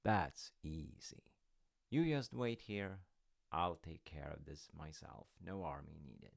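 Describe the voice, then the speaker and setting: A man's voice: very deep voice, lively intonation, slow pace, gravelly, heavily acted. A man voicing a boastful little tailor from a storybook, nonchalant and breezily unbothered. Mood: confident.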